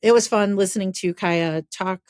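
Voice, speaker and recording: A woman co-hosting a podcast, chatting casually with lively reactions and a rambling way of talking. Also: mid-range voice, moderate pace, no room sound, studio quality. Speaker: podcast co-host. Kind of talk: casual chat.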